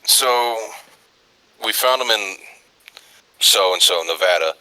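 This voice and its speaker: A man with a deep and very sharp, forceful voice improvises as a tabletop game player. He speaks haltingly, hesitating as he goes through an in-character call. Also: low-quality recording.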